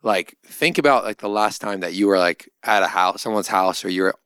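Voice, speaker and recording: A man's voice: fairly low pitch, normal speed, fairly bright tone, clear, resonant tone, no room sound, studio quality. A man co-hosting a podcast, talking through religious ideas in a conversational, explanatory way with lots of "like". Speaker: podcast co-host. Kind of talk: casual religious discussion.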